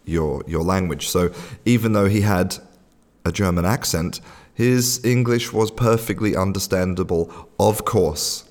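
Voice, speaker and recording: A man's voice: sits low, measured pace, darkish tone, long reverb tail. A man hosting a podcast, telling a casual story with lots of restarts and fillers. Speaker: podcast host. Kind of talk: casual spoken podcast monologue.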